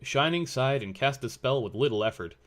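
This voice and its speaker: A man's voice: medium pitch, lively intonation, a little fast. A man reading scripted story narration as the narrator of a fan fiction audio drama.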